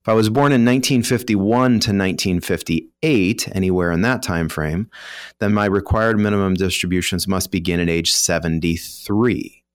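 A man's voice: low-pitched, normal speed, clear, resonant tone, dry recording, studio quality. A man with an American accent, a financial professional explaining rules in an informal, conversational way as a guest expert. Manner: explanatory, informal, voices hypothetical first-person examples.

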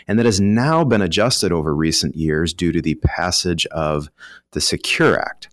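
Man, low voice, American accent, dry close sound, informal explainer, financial guest expert. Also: moderate pace, no room sound. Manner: explanatory, informal, voices hypothetical first-person examples. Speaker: retirement planning professional, guest expert. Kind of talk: conversational explaining of financial rules on a talk show.

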